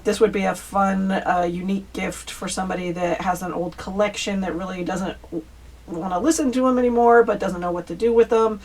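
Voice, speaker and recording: A woman's voice: on the low side, a little mellow. A woman hosting a casual step-by-step craft tutorial, chatty and informal as she talks to viewers. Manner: chatty, informal, addresses viewers as you guys. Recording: distant mic, faint background noise.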